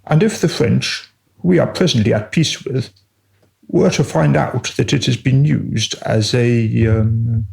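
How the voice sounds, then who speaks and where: An actor playing a deferential, long-suffering royal adviser in a comic radio play, formal and hesitant with dry disapproval. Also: male voice, medium pitch, unhurried, gravelly, darkish tone. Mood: tactful, exasperated.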